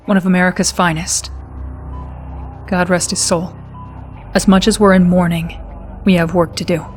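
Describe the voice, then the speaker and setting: A woman's voice: on the low side, flat delivery, normal speed, darkish tone, faint music behind, natural voice. A woman playing an earnest, patriotic military intelligence officer, formally briefing a commander in a game cutscene. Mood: solemn. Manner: formal, addresses listener as sir.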